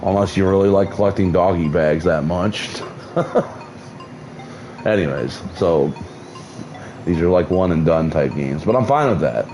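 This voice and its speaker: Low-voiced rambling male streamer. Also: a little slow, long pauses, mellow, faint music behind, low-quality recording. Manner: rambling with many restarts, swears casually. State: losing his voice, mouth numb. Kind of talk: casual gameplay commentary.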